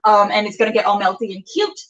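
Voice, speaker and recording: A woman's voice: medium pitch, fairly even intonation, fast. An American woman chatting casually as host of a cooking livestream, rambling playfully with silly exclamations and repeated phrases. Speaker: host of an online food and interview show. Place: indoors, in a room with a makeshift kitchen. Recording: roomy, distant, muffled dull sound.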